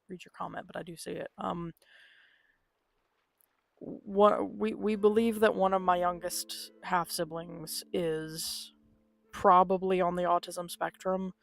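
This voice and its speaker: A woman's voice: lowish voice, creaky. A woman live-streaming a game, talking casually and candidly. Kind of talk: casual live-stream chat over gameplay. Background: video game music.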